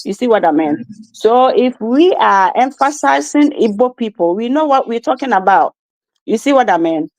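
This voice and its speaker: A woman's voice: on the high side, animated, normal speed, a little mellow, dry recording, low-quality recording. A woman from Ghana with a Ghanaian accent, giving insistent opinions as a guest in an interview and often checking that she is understood. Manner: insistent, keeps repeating "you see what I mean". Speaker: guest commentator.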